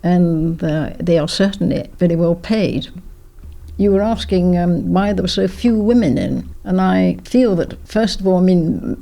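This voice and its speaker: A woman's voice: lowish voice, creaky, dark tone. An older woman, a former politician, reminiscing in an interview in an effusive, emphatic, well-spoken way.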